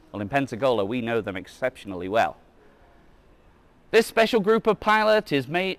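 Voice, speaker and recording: A man's voice: fairly high pitch, a bit bright, dry recording. A man reading a children's book aloud as a museum guide, adding casual asides and now and then correcting his slips. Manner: stumbles over words and corrects himself.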